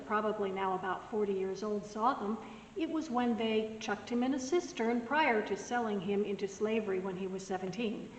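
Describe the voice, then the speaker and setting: A woman pastor giving a soft-spoken, gently conversational sermon message. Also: medium pitch, dull audio, a little hiss or hum. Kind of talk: recorded weekly sermon message. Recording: roomy echoing hall, mic at a distance.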